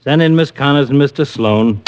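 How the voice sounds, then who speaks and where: A man acting as a brusque police lieutenant in a vintage radio mystery. His mid-pitched voice is matter-of-fact and skeptical. The recording is muffled with faint noise. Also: normal speed, darkish tone, lightly acted. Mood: businesslike. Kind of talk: 1950s radio detective drama acting.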